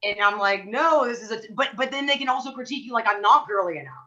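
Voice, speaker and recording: A woman's voice: a little high, lively intonation, fast, pushed and bright, lo-fi sound. A woman vocalist, a guest on a podcast, talking thoughtfully with lots of fillers. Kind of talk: podcast conversation.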